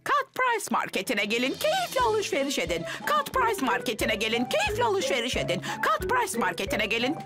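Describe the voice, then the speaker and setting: A very high, wildly swooping woman's voice for an over-keen cartoon shopkeeper mum. She is flustered and chanty, with loud music behind her. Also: huge pitch swings, normal speed, hardly any pauses. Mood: chanting, keen. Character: eager, flustered mother and shopkeeper. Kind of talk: animated children's cartoon dub.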